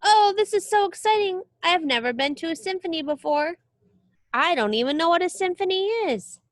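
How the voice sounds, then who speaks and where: Lady doing an eager young kid-book character, super high voice, naive and curious about everything. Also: expressive, fairly quick, no echo. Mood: excited. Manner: eager, wide-eyed questioning. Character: excited, naive young newcomer at the symphony.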